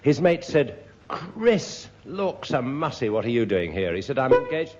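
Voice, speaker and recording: A man's voice: medium pitch, animated, measured pace, loud music behind the voice. A man, a comedy panellist, improvising stories and bantering. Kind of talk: improvised comic storytelling and banter. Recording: lo-fi recording, very loud audience noise.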